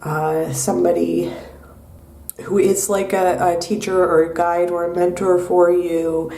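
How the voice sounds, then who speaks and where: A young woman giving a tarot reading in a soft, unhurried way with many pauses and ums, her voice nasal from a cold. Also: lowish voice, little pitch movement, vocal fry, distant mic. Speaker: tarot reader. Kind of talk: pick-a-card tarot reading. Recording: echoey room, mic set back.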